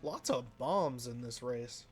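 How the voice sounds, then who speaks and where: A man talks over his racing gameplay in a loose, rambling way, poking fun at himself and letting sentences trail off. His voice sits at a middle pitch and comes out flat and a little nasal. Faint background noise sits under the recording. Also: slow. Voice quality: sounds flat and a bit nasal, by his own account. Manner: rambling, self-deprecating, drifts into mumbles. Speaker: let's play host. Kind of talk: casual gameplay commentary.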